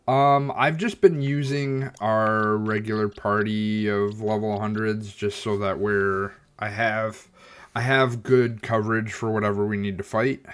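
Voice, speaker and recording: A man talks over his game stream in a slow, low voice, stopping often to find his words. His tone is a little stuffy and nasal, and he comes across as reflective as he picks the game apart. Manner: thoughtful, hesitant, many ums and restarts. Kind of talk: casual gameplay commentary.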